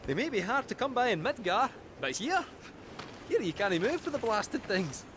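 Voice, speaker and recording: A man voicing a chatty, excitable companion with a Scottish accent in a video game. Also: very high-pitched, normal speed, background noise. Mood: chatty, eager. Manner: animated, folksy.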